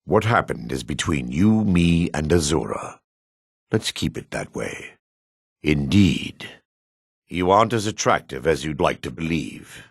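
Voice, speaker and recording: A man voicing a gruff, guarded dark elf townsman in a fantasy video game, with a raspy, measured, theatrical delivery. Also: very deep voice, very animated, no room sound, studio quality, exaggerated voice. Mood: solemn. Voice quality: raspy, gravelly.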